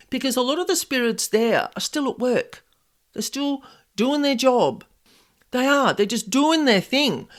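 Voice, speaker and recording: A middle-aged woman with a loud, extravagant voice, talking casually as a podcast host. Her pitch swoops up and down with animated energy as she drifts from one tangent to the next. She sounds like she is chatting freely rather than reading. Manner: extravagant, animated, easily sidetracked. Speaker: podcast host, paranormal investigator.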